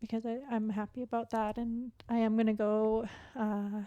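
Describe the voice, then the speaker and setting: A woman with a fairly high voice chats candidly as a podcast guest. Her delivery is halting and reflective, full of filler "like", and stays fairly even in tone. The sound is close and dry. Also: no echo, dull audio. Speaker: podcast guest, competitive athlete and coach. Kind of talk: candid casual interview chat.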